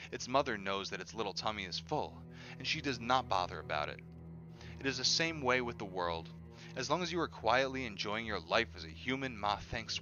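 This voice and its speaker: A man reading a book aloud in an expressive style. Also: medium pitch. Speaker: reader of an audiobook on a stream. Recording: dull lo-fi audio with music behind. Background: music.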